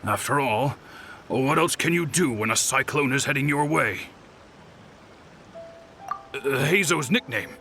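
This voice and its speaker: A man voicing a regretful, resigned former criminal in a video game, gravelly and sighing. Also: medium pitch, animated, unhurried, pauses often, pushed and bright, soft background music. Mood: rueful. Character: rueful male ex-crook, gloomy and resigned.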